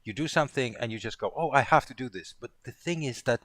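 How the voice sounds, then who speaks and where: A man's voice: mid-range voice, lively intonation, quick pace, fairly bright tone, no room sound. A man from Poland chatting casually during a pair-programming session, rambling and thinking aloud as he goes. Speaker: programmer co-hosting a live coding session.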